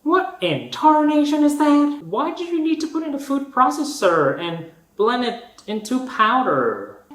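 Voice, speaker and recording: A man's voice: very high-pitched, very animated, a little slow, fairly bright tone, reverberant, low-quality recording. A man from Thailand with a Thai accent, giving sarcastic, sassy and dramatically exasperated reaction commentary on cooking. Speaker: host of a food reaction channel.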